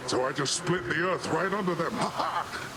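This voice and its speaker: An actor playing a boisterous, pompous middle-aged sea god in a video game, with grandiose, theatrical delivery. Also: male voice, fairly high pitch, fairly quick, close to an everyday voice. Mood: grand, boastful. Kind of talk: scripted video game character acting. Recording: voice under very loud noise.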